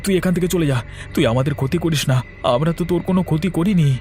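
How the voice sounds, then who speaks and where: A man's voice: a little high, quick pace, a little mellow, faint music behind, lightly acted. A man voicing a bold, earnest village man in a cartoon, speaking urgently and expressively. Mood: pleading, indignant. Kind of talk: cartoon story voice acting.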